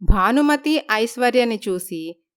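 Clear-voiced woman narrating a story. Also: on the high side, animated, slow, a bit bright. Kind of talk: scripted storytelling.

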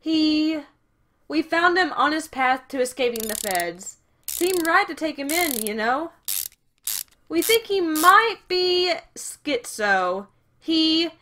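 Woman acting a brash high-voiced crew mechanic. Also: very animated, slow pace, bright, forceful tone, exaggerated voice. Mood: matter-of-fact. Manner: brash, blunt. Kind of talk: audio drama acting.

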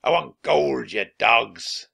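A man's voice: medium pitch, slow, vocal fry, pushed and bright, slightly rough, heavily acted. A man voicing a big, mean, greedy pirate captain in a storybook, growling and roaring orders. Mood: gruff, commanding. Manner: bullying, roaring, barking orders.

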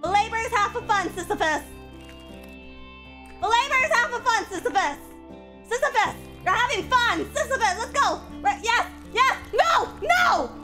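High-voiced woman streamer with a stuffy cold, casual chat with bursts of yelling, clear game music under her. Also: a little slow. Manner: swings from mumbling to loud excited yelling.

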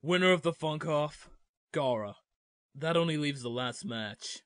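A man voicing a deadpan, unimpressed referee in a cartoon parody dub. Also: fairly high pitch, a little slow, over loud music. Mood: dry, bored. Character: deadpan male exam referee in anime parody.

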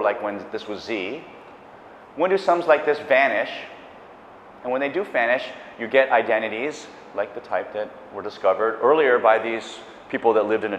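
A man's voice: medium pitch, a little hiss or hum. A middle-aged man giving a public math lecture on a lapel mic, enthusiastic and chatty, with asides and self-corrections. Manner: enthusiastic, animated gestures, pacing the stage. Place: lecture hall. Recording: echoey lecture hall, dull sound.

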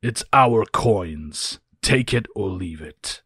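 A man's voice: fairly low pitch, lively intonation, slow, fairly bright tone, breathy edge, dry recording, clean studio sound, full character voice. A man playing a cold, haughty villain who poses as an arms dealer, curt and imperious. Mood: cold, demanding.

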